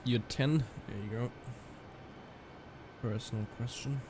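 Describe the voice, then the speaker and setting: A man's voice: mid-range voice, slow, noisy background. Chatty flight sim streamer guy. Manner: chatty, rambling, many fillers and restarts. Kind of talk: casual live-stream chat with viewers.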